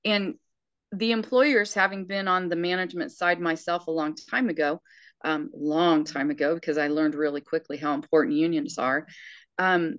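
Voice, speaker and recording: A woman's voice: deep voice, steady tone, normal speed, fairly bright tone, no room sound, lo-fi sound. A sixty-year-old woman speaking earnestly and emphatically in casual conversation, often restarting her sentences. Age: 60s.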